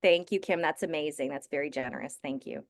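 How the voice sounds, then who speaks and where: A woman's voice: low-pitched, brisk. A woman hosting a webinar, introducing the guest speaker in a chatty way with fillers.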